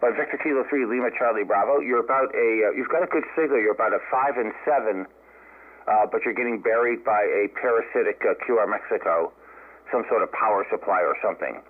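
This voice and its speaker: An American man working as an amateur radio operator, speaking haltingly in an unscripted exchange over a radio link. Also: on the low side. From United States. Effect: radio transmission. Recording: narrow band-limited ham radio audio. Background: radio band sound.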